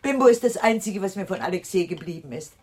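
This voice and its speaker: An actress playing a chatty, eccentric older aunt in a German radio play, gushing, theatrical and breezily insistent. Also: female voice, medium pitch, expressive, fast talker, darkish tone, slightly rough. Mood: matter-of-fact, nostalgic.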